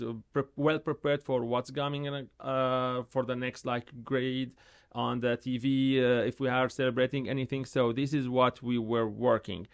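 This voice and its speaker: Man in his thirties, native Arabic speaker, gravelly voice, halting English, mid pitch. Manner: halting, repeats words, animated when telling stories. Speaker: real estate agent, guest. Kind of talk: casual interview talk.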